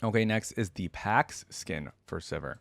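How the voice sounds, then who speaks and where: A man's voice: lowish voice, lively intonation, moderate pace, gravelly, a bit bright, no echo. A man giving casual, informal, lightly amused commentary as host of a reaction video.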